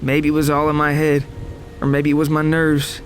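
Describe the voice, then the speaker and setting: A man's voice: fairly high pitch, completely flat delivery, moderate pace, slight background noise, natural voice. A man voicing a traumatized survivor of a monster attack, narrating his horror story in a grave, literary, dramatic way. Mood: numb, haunted. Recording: music behind the voice.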